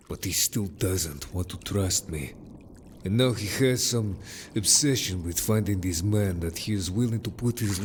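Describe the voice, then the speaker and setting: Man playing a deep-voiced, protective merman. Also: slight background noise. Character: gruff, protective male merfolk, loyal patrolling adventurer. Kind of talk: audio drama roleplay addressed to listener.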